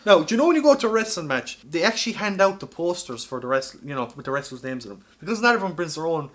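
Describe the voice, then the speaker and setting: A man's voice: fairly high pitch, sing-song, quick pace, a bit bright. A man hosting a podcast, casually and insistently explaining a comedic scheme, with some swearing. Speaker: podcast host.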